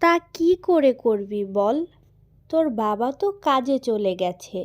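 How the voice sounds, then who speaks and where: A woman's voice: fairly high pitch, expressive, unhurried. A woman voicing a concerned, caring village mother of a grown son in a cartoon.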